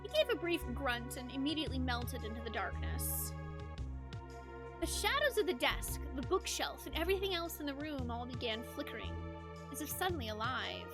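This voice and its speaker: Female game streamer, very high and lively voice, expressive chat and read-aloud narration, music underneath. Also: moderate pace. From United States. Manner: animated, expressive, chatty. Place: indoors, game livestream with music behind.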